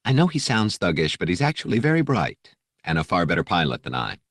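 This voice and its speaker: A man's voice: deep voice, huge pitch swings, a little fast, a bit bright, no echo, clean studio sound, heavily acted. A man voicing a stocky space smuggler in an audiobook, polite and well-spoken, with a pleasant, coolly amiable tone. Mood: amiable, smug. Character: genteel, amiable, stocky male smuggler bounty hunter.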